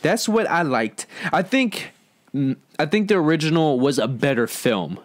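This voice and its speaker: A man's voice: fairly high pitch, expressive, normal speed. A man hosting a casual, opinionated film discussion among friends in informal, slangy speech. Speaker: host of an entertainment discussion show.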